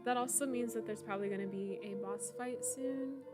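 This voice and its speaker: A woman in her twenties, slightly hoarse, chatting casually and laughing often as she streams a game and answers her viewers. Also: fairly high pitch, a little fast, over loud music. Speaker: streamer playing a horror game. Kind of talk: casual live-stream chat, reading out viewer comments.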